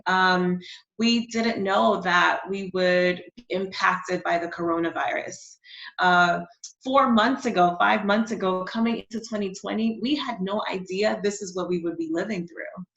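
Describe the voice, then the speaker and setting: A woman's voice: mid-range voice, measured pace, gravelly, a bit bright. A woman from Honduras, a marketing strategist speaking confidently and emphatically as an interview guest. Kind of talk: interview conversation. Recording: dull, roomy sound.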